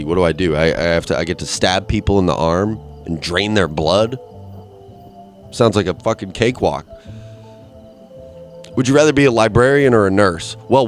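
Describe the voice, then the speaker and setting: A young man with a stuffed-up nose rambling through a crude, self-deprecating solo monologue, full of restarts and asides. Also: deep voice. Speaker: podcast host.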